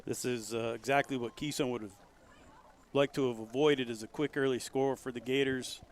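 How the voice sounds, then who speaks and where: A man's voice: medium pitch, normal speed. Small town football play-by-play guy, folksy, slight outdoor hiss behind him. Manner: folksy, gets loud and excited on big plays. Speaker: play-by-play commentator. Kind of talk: local high school football commentary.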